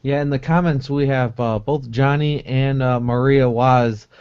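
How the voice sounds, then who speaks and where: A man's voice: mid-range voice, steady tone, measured pace, darkish tone, clean tone. A man hosting a casual interview over an online video call, with informal, somewhat hesitant phrasing. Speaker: host. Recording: dull lo-fi internet call audio.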